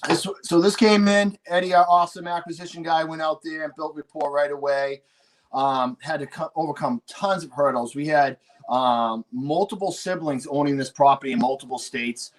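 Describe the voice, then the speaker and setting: A man's voice: a little high, moderate pace, low-quality recording. A man with a Boston accent, telling a story as a guest in a chatty, upbeat way with lots of fillers. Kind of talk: casual storytelling.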